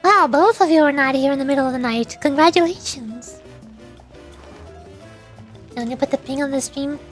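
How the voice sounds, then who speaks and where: A woman's voice: sits high, moderate pace, soft background music. A woman livestreamer chatting casually with viewers while gaming, in a playful, rambling way. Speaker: livestreamer (VTuber) playing and voicing a game.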